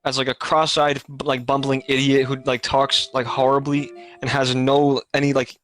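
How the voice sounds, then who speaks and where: A man's voice: mid-range voice, level tone, moderate pace, a bit bright, faint music behind. A man hosting a casual podcast over a voice call, rambling with many fillers and restarts. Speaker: podcast host.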